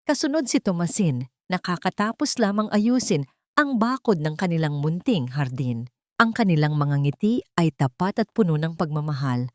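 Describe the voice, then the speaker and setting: A woman storyteller reading a scripted romantic drama in a measured, literary manner. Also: on the low side, lively intonation, darkish tone, no echo, clean studio sound.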